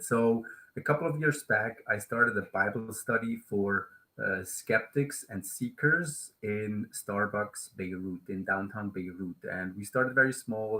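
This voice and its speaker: A man's voice: on the low side, bright, forceful tone, rough recording. A man in his late thirties from Switzerland, with a Swiss German accent, chatting casually and a little hesitantly as the host of a live stream. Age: late 30s. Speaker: YouTube host.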